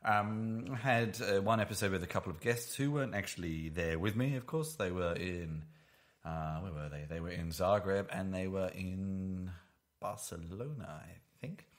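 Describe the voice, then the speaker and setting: A man with a very deep voice hosts a casual podcast from his apartment. He rambles, restarts his sentences and drops in dry asides, all on a single shared microphone. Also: moderate pace. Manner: rambling, self-correcting, dry humour. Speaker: podcast host. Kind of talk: casual chat. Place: home apartment.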